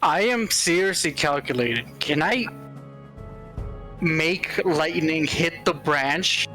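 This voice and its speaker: A man's voice: fairly high pitch, lively intonation, slow pace, bright, forceful tone, soft background music. A man playing a tabletop game, casually and eagerly explaining his plan, often repeating himself.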